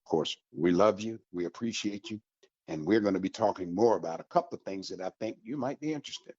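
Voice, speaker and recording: Man, radio host, low husky voice, folksy and upbeat, dry muffled lo-fi broadcast. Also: fast talker, darkish tone, dry recording. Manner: folksy, upbeat promotional delivery. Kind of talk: community radio talk.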